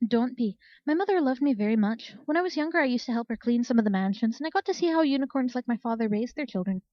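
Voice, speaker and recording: Woman as a gentle, earnest young pony mare. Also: on the high side, expressive, quick pace, no room sound, lo-fi sound, pitched above the performer's own voice, close to an everyday voice. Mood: reassuring. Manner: soft, earnest. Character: gentle, steady, kind young female earth pony.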